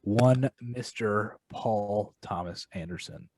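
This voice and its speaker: A low-voiced man co-hosts a casual film podcast, recorded dry and close. He mocks himself wryly and stops often to hunt for words. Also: darkish tone, no echo. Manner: wry, self-mocking, halting with fillers. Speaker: podcast co-host. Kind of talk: casual chat.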